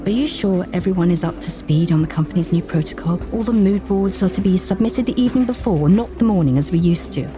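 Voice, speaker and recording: A woman's voice: fairly low pitch, quick pace, hardly any pauses, music in the background, natural voice. A woman voicing an anxious, overworked female boss of a fashion company, fretful and prone to muttering, in an audio drama. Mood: brisk.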